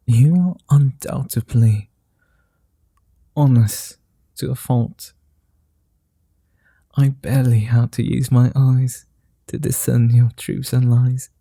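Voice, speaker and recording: A man voicing a flirty, tender male vampire in an ASMR roleplay, speaking slowly, softly and formally, often in whispers, close to the mic. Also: mid-range voice, gravelly, husky, no room sound, exaggerated voice. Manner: slow, soft and often whispered; old-fashioned formal speech.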